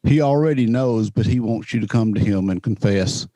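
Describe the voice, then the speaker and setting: Older man, earnest, low and dry. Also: moderate pace, dark tone, no room sound. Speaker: guest.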